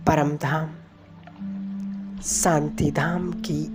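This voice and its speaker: A woman leading a devotional guided meditation in a soothing, measured manner, pausing between short phrases. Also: low voice, fairly even intonation. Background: music.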